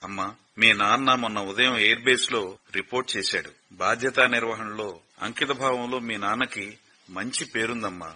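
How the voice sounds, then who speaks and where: Man voicing a grave army officer, radio play, bright tone, husky, measured and gentle. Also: fairly low pitch, full character voice. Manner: measured, gentle, calls the girl "mother" fondly. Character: grave male officer breaking hard news gently. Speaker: officer on a phone call, colleague of the father. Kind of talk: radio drama acting. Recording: radio broadcast.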